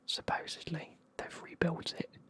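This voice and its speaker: A speaker, whispering a casual, rambling recap of a fantasy novel full of fillers. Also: measured pace. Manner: soft whisper, rambling, frequent fillers and swearing. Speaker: book reviewer. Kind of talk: whispered ASMR book recap.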